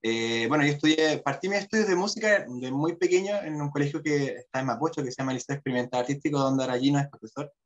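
A man's voice: fairly high pitch, fast, hardly any pauses. Chilean man, slightly muffled call audio. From Santiago, Chile. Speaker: interview guest, orchestral clarinetist. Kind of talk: conversational interview answer. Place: indoor room on a video call. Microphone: video call.

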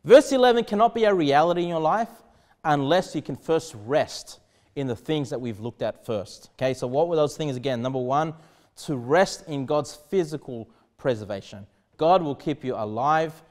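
A man in his thirties, a pastor preaching a sermon in earnest, with sudden emphatic rises and quiet drops. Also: mid-range voice, animated, normal speed. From Australia. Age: 30s. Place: small church studio behind a pulpit desk.

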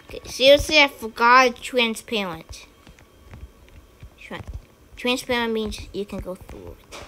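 Excitable high-voiced woman gamer. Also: a little slow, a little hiss or hum, rough recording. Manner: excitable, chatty, with sudden high squeals. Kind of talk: casual gameplay commentary. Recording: game music behind. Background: game music.

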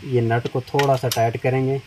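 Guy at a tool shop counter, flat steady voice, noisy background, walking through a chainsaw setup. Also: mid-range voice. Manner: plain, unhurried explaining while working with his hands. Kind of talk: step-by-step product demonstration.

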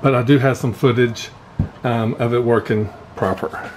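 A man giving a casual, unscripted hands-on gear walkthrough as a vlogger, pausing often mid-phrase. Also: medium pitch, low-quality recording. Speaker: vlogger showing fishing gear. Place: indoor garage workshop. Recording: steady background noise, room ambience.

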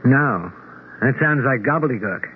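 An actor playing a sensible, skeptical friend in a radio drama, asking brisk, polite, probing questions. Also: male voice, medium pitch, animated, natural voice. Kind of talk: 1970s radio drama acting. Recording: tinny, noisy old radio broadcast.

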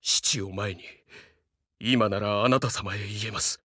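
A man's voice: on the low side, fairly even intonation, measured pace, pushed and bright, dry recording, studio quality. A man voicing a young, fiercely loyal dog-man samurai in a Japanese game, speaking earnestly in formal, archaic warrior speech with dramatic delivery. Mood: tender, devoted.

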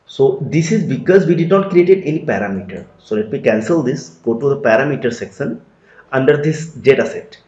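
A man giving a steady step-by-step screen-recorded software tutorial, repeating phrases as he explains. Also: mid-range voice, a little fast. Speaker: tutorial instructor. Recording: dull lo-fi roomy sound.

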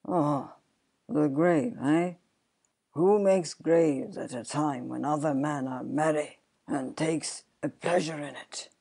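A low, slow-speaking goblin voiced by a woman, stern and taunting, straight out of a storybook. The tone is dark and deliberate, full of unearthly menace. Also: vocal fry, husky, heavily acted. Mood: mocking menace. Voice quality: deep. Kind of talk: dramatic storybook reading.

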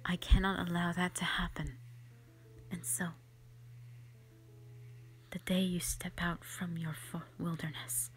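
A woman voicing a gentle, protective elder dragon in a slow, solemn, whispered audio roleplay monologue, full of sorrow. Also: lowish voice, flat delivery. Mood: grave, resolute. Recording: music behind the voice.

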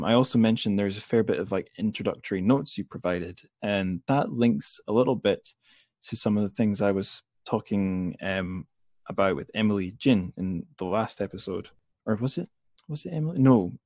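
A man with a fairly low voice hosts a relaxed interview podcast. He thinks aloud in halting phrases. The sound is very dry, with no room around him. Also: no room sound.

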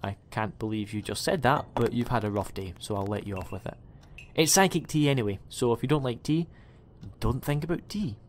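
A man's voice: mid-range voice, a little fast, a little hiss or hum, lightly acted. A man playing an eccentric, chatty time-travelling alien in an audio drama, with a rambling, quirky way of talking. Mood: breezy, rambling. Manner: rambling, self-correcting, quirky. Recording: music under the voice.

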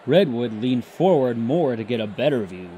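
A man's voice: mid-range voice, animated, moderate pace, warm tone, a little hiss or hum. A man narrating a scripted story between lines of character dialogue.

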